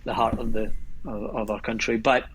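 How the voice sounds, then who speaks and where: A man from Glasgow with a Scottish accent, chatting as a guest about his career in a relaxed, rambling way. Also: lowish voice, normal speed, husky, poor audio. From Scotland. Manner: relaxed, rambling, with restarts and laughs. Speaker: guest filmmaker. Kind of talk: casual podcast chat.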